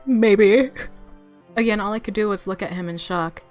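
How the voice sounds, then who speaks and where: A woman with a mid-pitched voice reads a visual novel aloud while music plays underneath. She narrates expressively, in the playful manner of a gamer reacting to the story. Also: moderate pace, darkish tone.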